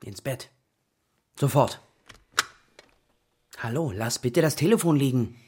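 Genervter Vater, belegte Stimme. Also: male voice, mid-range voice, lively intonation, slow, warm tone, husky. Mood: ordering. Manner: scolding, impatient. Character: exasperated, stern father of a young girl. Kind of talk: children's radio play acting.

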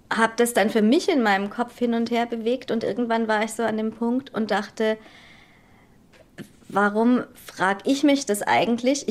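A woman speaks thoughtfully and candidly, weighing her words as she explains. It is a mid-pitched, natural voice in a documentary interview. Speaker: interviewee, mother.

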